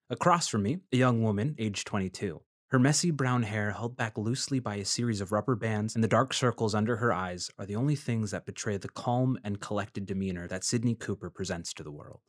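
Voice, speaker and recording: An actor playing a polite, measured freelance journalist who narrates his investigation in a fiction podcast. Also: lowish voice, no echo, clean studio sound, lightly acted. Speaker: narrator and lead character.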